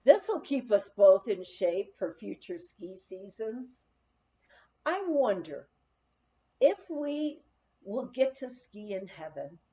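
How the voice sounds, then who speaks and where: A woman reading a memoir aloud as scripted narration, in measured short phrases with pauses. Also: medium pitch, big pitch swings, warm tone. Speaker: audiobook reader of a memoir. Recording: dull, lo-fi recording.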